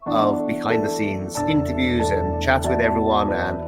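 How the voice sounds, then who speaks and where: A man from Bulgaria, a film director being interviewed, telling anecdotes in an animated way with fillers. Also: on the low side, normal speed, loud music behind the voice. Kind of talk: interview storytelling.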